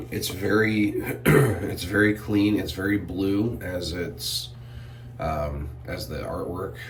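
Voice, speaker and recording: Deep-voiced man, sniffly, off-mic. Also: distant mic, slight background noise. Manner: relaxed, rambling with many fillers and throat clearing. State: sniffly from irritated sinuses. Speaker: shave product reviewer. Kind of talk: casual product review vlog.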